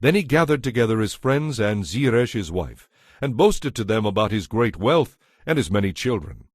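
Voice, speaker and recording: Deep-voiced male narrator, dry studio sound. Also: big pitch swings, moderate pace, no room sound. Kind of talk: scripted dramatic Bible narration.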